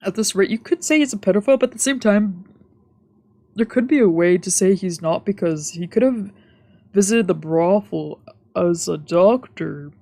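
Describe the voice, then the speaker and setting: A woman's voice: medium pitch, normal speed. A woman streamer reading visual novel narration aloud for a let's play. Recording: soft game music behind.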